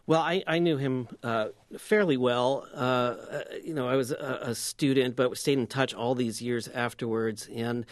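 A man talks in a dry, close studio sound. His voice sits at an ordinary pitch. He gets there haltingly, with plenty of "uh" and restarts. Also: steady tone, dry recording. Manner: halting, many fillers and restarts. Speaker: radio guest, correspondent. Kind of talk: remembrance in a radio interview. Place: radio station studio.

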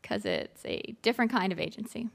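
A woman's voice: medium pitch, wildly swooping pitch, brisk, vocal fry. A woman playing along as a quiz contestant, giggling and unsure of her answers. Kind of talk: comedy quiz show banter.

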